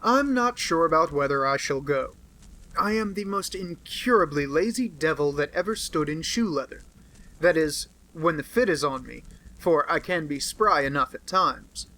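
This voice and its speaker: A man's voice: on the high side, expressive, moderate pace, sharp tone, rough recording. A man voicing an aloof, brilliant male detective in an audiobook, cool and clipped in his speech. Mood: dismissive.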